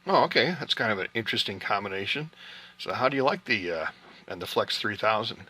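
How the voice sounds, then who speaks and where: A man hosting an amateur radio session, chatting casually and explaining things in an unhurried way. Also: on the low side, lively intonation, pushed and bright, husky. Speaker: amateur radio operator hosting an on-air QSO party. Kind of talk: casual ham radio chat.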